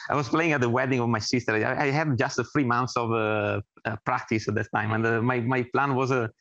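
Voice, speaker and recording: A man from Italy with an Italian accent gives an informal research presentation as an academic, speaking haltingly with many filled pauses. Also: medium pitch, steady tone, fairly bright tone, no echo, dull audio. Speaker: academic researcher introducing himself and his work.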